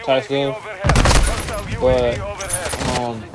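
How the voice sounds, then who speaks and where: A man's voice: medium pitch, level tone, very slow. A fourteen-year-old boy rambling casually to his viewers in a storytime vlog, full of "you know" fillers and restarts, talking straight to the audience in informal slang. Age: teens, 14. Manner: addresses viewers directly, informal slangy speech. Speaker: vlogger. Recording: loud background noise and clicks.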